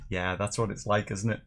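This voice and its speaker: A man's voice: on the low side, fairly bright tone. A middle-aged man, chatting casually and jokily as he hosts a live tasting show and reads out viewer comments. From Northern Ireland and England. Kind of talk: casual livestream chat, reading out viewer comments.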